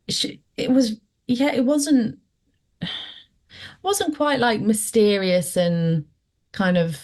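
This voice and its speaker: A woman's voice: mid-range voice, a little mellow. A woman, a guest recounting a personal experience in a reflective, hesitant way. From West Yorkshire, England. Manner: reflective, hesitant, lots of fillers. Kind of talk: conversational storytelling interview.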